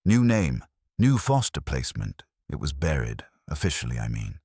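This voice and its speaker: A man voicing a reserved, considerate police inspector in a story, clipped and low-key. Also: sing-song, normal speed, dark tone, breathy edge, dry recording, studio quality, heavily acted. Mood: reserved, probing. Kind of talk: audio drama narration dialogue.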